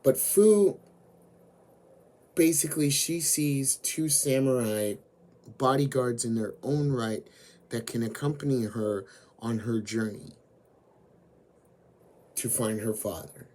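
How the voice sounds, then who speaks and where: A man with a hoarse voice, slowly retelling an anime story as host of his own channel. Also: medium pitch, warm tone. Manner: slow, deliberate storytelling with frequent fillers.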